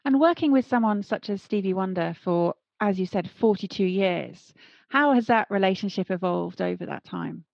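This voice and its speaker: Creaky-voiced woman interviewing, dry sound. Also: medium pitch, moderate pace, darkish tone, no room sound, lo-fi sound. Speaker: podcast interviewer. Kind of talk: conversational interviewing.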